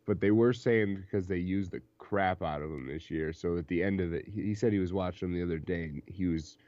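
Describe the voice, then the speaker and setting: Young male podcast co-host, very low voice, laughs a lot, dry muffled recording. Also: brisk, a little mellow, no room sound. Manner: laughs often between points. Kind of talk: casual sports podcast chat.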